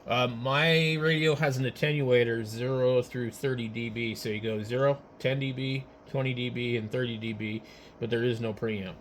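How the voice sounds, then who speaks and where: Guy doing casual ham radio tech talk, kinda halting, thinking out loud, noisy background. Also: medium pitch, steady tone. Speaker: amateur radio operator. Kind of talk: casual technical chat.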